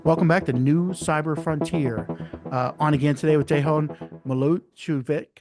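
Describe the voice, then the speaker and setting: A man hosting a podcast, talking in a relaxed, rambling way with restarts and fillers. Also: a little high, normal speed, over music. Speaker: podcast host. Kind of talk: casual interview chat.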